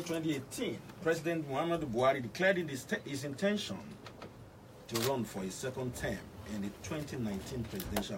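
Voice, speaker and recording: Nigerian Yoruba male radio host, distant mic. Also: mid-range voice. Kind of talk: hosting a live radio current affairs programme. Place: radio studio. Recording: noisy, distant-miked radio studio capture.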